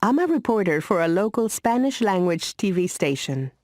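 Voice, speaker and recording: A woman reading a scripted self-introduction in an expressive, friendly way. Her voice is mellow and moves in lively swings. The recording is dry and close. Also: medium pitch, normal speed, no room sound. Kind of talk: scripted textbook dialogue.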